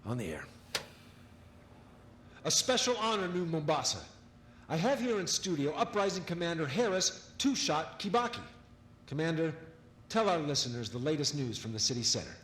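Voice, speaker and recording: An actor playing a grave, theatrical propaganda officer and radio announcer, measured and rhetorical, in a game audio drama. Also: male voice, fairly high pitch, very animated, a bit bright. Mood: showy, broadcasting.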